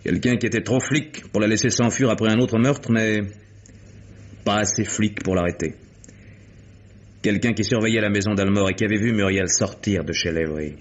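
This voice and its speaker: An actor playing a world-weary, sardonic male private detective in a 1950s French radio mystery, speaking deliberately with dramatic pauses. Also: fairly low pitch, steady tone, lightly acted. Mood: grave, accusing. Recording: muffled vintage radio drama recording.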